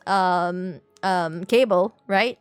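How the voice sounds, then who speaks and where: A woman's voice: fairly low pitch, very slow, clean tone, no room sound, soft background music. A woman livestreamer chatting casually with her viewers, rambling along, repeating words and searching for terms. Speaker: game livestreamer.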